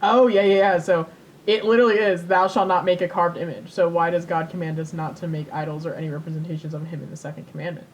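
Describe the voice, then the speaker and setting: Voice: level tone, fast. A podcast co-host in casual comedic chat, musing aloud in a wry way. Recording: noisy recording with low rumble.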